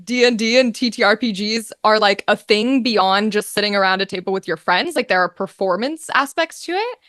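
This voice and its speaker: A young woman chatting casually as an interview guest, telling her story in an animated, informal way. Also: medium pitch, fairly quick, no echo, clean studio sound. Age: young adult. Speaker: guest, voice actor.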